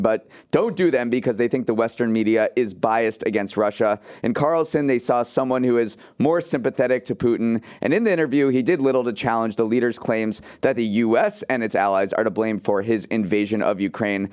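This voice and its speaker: A man's voice: medium pitch, darkish tone. Male news podcast co-host, mid-range voice. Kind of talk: conversational news podcast, partly scripted.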